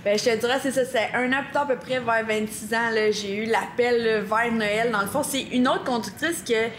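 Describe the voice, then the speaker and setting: Lively Québécois woman, fairly high voice. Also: moderate pace, echoey room, slight background noise. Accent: Québécois French. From Quebec, Canada. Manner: lively storyteller, laughs often. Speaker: guest. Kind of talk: casual chat.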